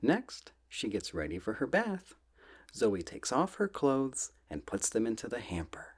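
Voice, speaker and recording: A soft-spoken man reading children's books aloud in a gentle, playful ASMR style, often dropping close to a whisper. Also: medium pitch, wildly swooping pitch, husky. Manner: gentle, drawn-out, playful storybook delivery.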